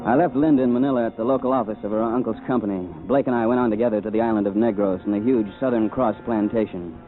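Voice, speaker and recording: An actor playing a terse, wry adventurer-investigator in a 1940s radio drama, with a dry, understated delivery. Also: male voice, fairly low pitch, fairly even intonation, fast talker, lightly acted. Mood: grave narration. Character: terse, wry male adventurer-investigator, world-weary hero. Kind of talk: 1940s radio drama acting and first-person narration. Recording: tinny old radio broadcast, music behind.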